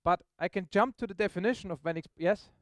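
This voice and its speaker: A man's voice: high-pitched, fast, no room sound. A man giving an informal, explanatory live technical demo to an audience, often checking in with questions. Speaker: software presenter. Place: meetup presentation room, on stage.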